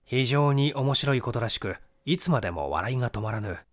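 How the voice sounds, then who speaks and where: A middle-aged man narrates literary mystery fiction. His medium-pitched voice moves up and down in a lively way, giving the reading a dramatic, expressive shape. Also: a little fast. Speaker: audiobook narrator, stage actor.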